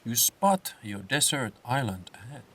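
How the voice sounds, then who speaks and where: A man narrating a scripted guided meditation in a soothing, unhurried way, with phrases set apart by pauses. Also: medium pitch, sing-song, fairly bright tone, breathy edge, far from the mic. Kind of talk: slow scripted guided meditation with comic swearing.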